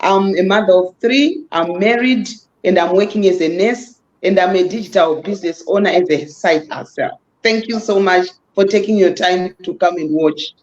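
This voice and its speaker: A woman speaks politely and at a measured pace. Her audio is dull and lo-fi, like a muffled call. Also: mid-range voice, a little mellow. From Zimbabwe. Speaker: nurse.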